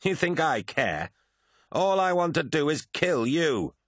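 A man's voice: on the high side, lively intonation, moderate pace, fairly bright tone, dry recording, clean studio sound, voice pushed up. A man voicing an arrogant, bloodthirsty superhuman warrior in an audiobook, mocking and goading.

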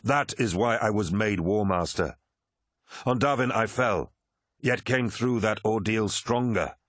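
A man's voice: on the low side, a little slow, no room sound. Man acting a commanding, wrathful warlord, dry sound. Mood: commanding, proud. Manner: forceful, imperious, snapping and roaring. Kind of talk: dramatic audiobook character acting.

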